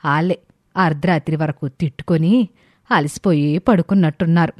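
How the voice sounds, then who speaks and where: A woman's voice: sits low, moderate pace, darkish tone, no echo. A woman voicing a weary, exasperated older village mother-in-law in a story, complaining in a rustic way.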